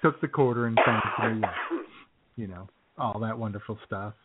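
A man's voice: fairly low pitch, normal speed, heavy background noise. A man co-hosting a casual radio show with jokey, rambling, slightly hesitant banter. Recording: thin phone-like audio.

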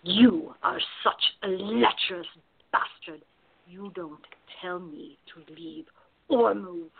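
A woman playing a bold, tough woman in biker disguise with a husky voice in a radio play. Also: mid-range voice, very animated, takes it slowly, narrow, tinny audio, heavily acted. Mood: cold menace.

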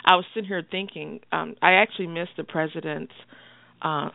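A woman co-hosting a call-in radio show, chatty and expressive, often laughing as she talks. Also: low voice, a little fast, creaky, no echo. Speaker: radio co-host. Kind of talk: casual radio banter. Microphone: phone line. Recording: phone-line call-in radio, dry.

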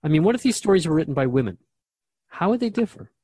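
A man's voice: a little high, very animated, quick pace, mellow, slightly rough. A man acting as a radio host in a conversational drama, talking in an inquisitive, reflective way.

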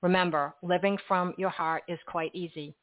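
A woman hosting a radio talk show and reading her sign-off in a polished, gently emphatic way. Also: on the low side, steady tone, normal speed, no echo, narrow, tinny audio.

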